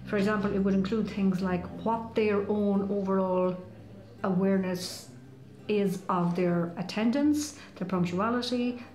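A woman's voice: medium pitch, steady tone, unhurried, darkish tone. A woman in her sixties giving a considered interview answer in a small office. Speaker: college staff member. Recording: roomy, echoing office.

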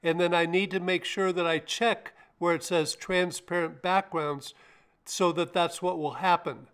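Calm older man narrating a software tutorial. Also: high-pitched, unhurried, clean tone. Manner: calm, methodical, talks the viewer through each click. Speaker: tech tutorial host. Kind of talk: step-by-step screen-recorded software tutorial.

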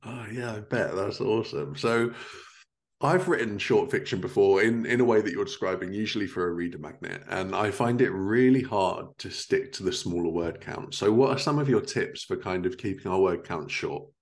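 A man's voice: low-pitched, moderate pace. A man in his thirties chatting casually as a podcast host on a video call from home. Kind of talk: casual interview chat. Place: living room at home, indoors, on a video call.

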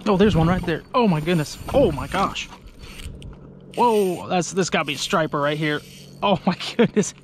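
A young man, chatting casually to his viewers from a small boat on a lake, getting excited when fish bite. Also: high-pitched, normal speed, a little hiss or hum. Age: young adult. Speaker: fishing vlogger. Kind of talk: casual outdoor vlog commentary. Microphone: action camera on the boat.

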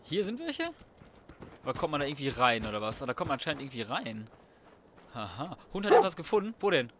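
A man's voice: medium pitch, huge pitch swings, fast talker, a bit bright, slight background noise. A man giving casual, slangy and crude commentary on a video game, talking to himself as he plays. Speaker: let's play gamer.

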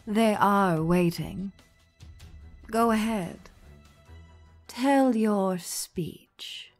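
Woman acting as slow, imperious, mocking giant queen. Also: mid-range voice, faint music behind. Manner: theatrical, drawn-out, condescending. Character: imperious, mocking, towering female gem ruler. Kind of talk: animated comic dub acting.

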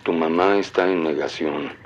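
A man playing an obsessed, secretive middle-aged father, speaking gravely and deliberately on a cassette recording. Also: extremely low pitch, steady tone. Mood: grave, obsessive. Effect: cassette tape playback. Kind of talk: brooding audio fiction monologue.

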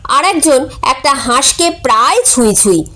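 A woman's voice: high voice, lively intonation, a little slow, bright, forceful tone. A woman reading a humorous short story aloud as a scripted narration.

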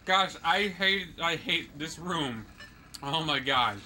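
A man co-hosting a game let's play, joking and teasing the player with animated commentary. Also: fairly high pitch, takes it slowly, a little hiss or hum, rough recording. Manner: teasing, animated, exclaims and laughs often.

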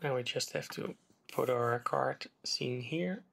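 A man narrates a coding tutorial at his desk in an easygoing, step-by-step way. His mid-pitched voice has a gravelly edge. Faint background hiss sits under it, with keyboard typing and mouse clicks. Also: slow pace. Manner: relaxed, step-by-step explaining while typing. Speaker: game development tutor. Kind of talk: screen-recorded coding tutorial.

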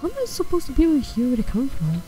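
A woman streaming a video game and chatting with viewers, talking softly in an attempt at ASMR and swearing when startled. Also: high voice, sing-song, over music, low-quality recording. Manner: soft, slow attempt at ASMR-style talk. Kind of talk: live gameplay chat with viewers.

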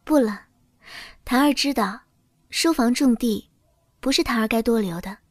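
Woman acting a meek, high-voiced young princess consort. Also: moderate pace, no echo, clean studio sound, natural voice. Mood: demure, polite. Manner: soft-spoken, deferential, careful. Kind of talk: audio drama acting.

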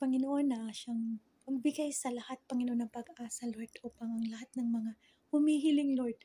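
Filipino lady doing online devotion, earnest, husky and kinda high voice, close dry phone recording. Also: dark tone, no room sound. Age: middle-aged. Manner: earnest, pleading, repeatedly addressing God. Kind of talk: devotional prayer and exhortation to viewers. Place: small indoor room. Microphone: phone at arm's length.

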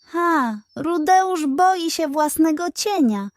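A woman voicing a sceptical, unflappable male pig detective in a children's bedtime story, reasoning calmly. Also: high voice, slow pace, dry recording, pitched above the performer's own voice. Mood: dismissive. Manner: dismissive, calmly reasoning, muttering.

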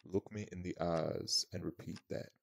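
A man playing a blunt but protective male vampire in an intimate audio roleplay, speaking gruffly and informally. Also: low-pitched, steady tone, moderate pace, noisy background. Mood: dry, challenging.

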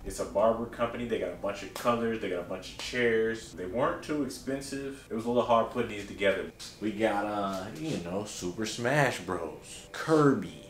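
A man in his thirties gives a casual tour of his small barber studio, chatting in relaxed slang with animated jumps in pitch and loudness. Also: normal speed, a little hiss or hum. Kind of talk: casual vlog walkthrough. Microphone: handheld camera mic, moving around the room. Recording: roomy echo, somewhat far from mic, music behind.

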